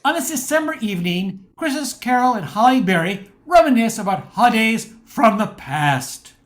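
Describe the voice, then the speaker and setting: A woman's voice: a little high, very animated, normal speed. A woman reading scripted narration as the storyteller of a radio play.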